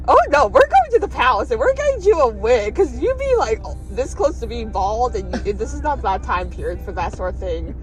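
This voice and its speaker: A woman's voice: a little high, big pitch swings, fairly quick, few pauses, faint music behind, rough recording. A woman voicing a vain, goofy young fairy-tale prince in a flippant, dramatic manner. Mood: fussy.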